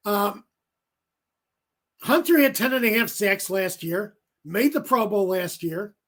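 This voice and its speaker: A man hosting a sports talk show on his own, giving rambling commentary full of fillers and self-corrections, his voice going raspy from talking for an hour. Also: high voice, fairly bright tone. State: voice getting raspy. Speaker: solo sports show host.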